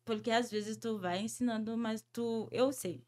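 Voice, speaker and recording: Woman, French first language, podcast host, hesitant, fillers, restarts, fairly high voice. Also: steady tone, moderate pace, darkish tone. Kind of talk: conversational interview.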